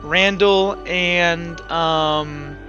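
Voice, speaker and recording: Game master, male. High register, sharp bright edge, faint music underneath, casual and halting delivery. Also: fairly even intonation, dull audio. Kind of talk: narrating an online tabletop roleplaying game.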